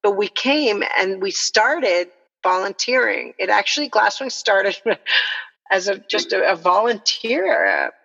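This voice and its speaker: A woman's voice: mid-range voice, sing-song, a little slow, creaky, a bit bright. A middle-aged woman from El Salvador speaking as a podcast guest, telling her story in an earnest, reflective, explanatory way over a dull, reverberant connection. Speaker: nonprofit co-founder, podcast guest. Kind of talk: conversational interview storytelling.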